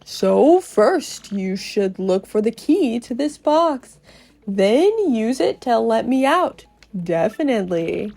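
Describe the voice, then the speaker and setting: A woman's voice: on the high side, big pitch swings, takes it slowly, creaky, mellow, pitched below the performer's own voice, full character voice. A woman voicing a cocky spirit trapped in a treasure chest from a video game, talking in casual, slangy swagger over music. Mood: sly, insistent. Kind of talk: dramatic video game read-aloud. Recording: music behind the voice.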